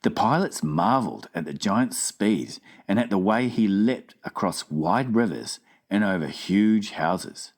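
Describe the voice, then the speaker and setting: A woman's voice: extremely low pitch, animated, a little slow, few pauses, a little mellow. A woman narrating a children's audiobook in a dramatized storytelling style.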